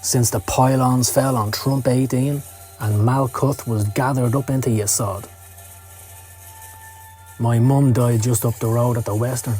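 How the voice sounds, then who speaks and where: A man's voice: medium pitch, steady tone, measured pace, a little mellow, lightly acted. A Scottish man playing a cynical, down-at-heel occult hustler, telling his story in a sardonic, streetwise way for a motion comic. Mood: brooding. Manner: sardonic, streetwise, foul-mouthed. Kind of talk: dramatic first-person comic narration. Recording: music under the voice. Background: music.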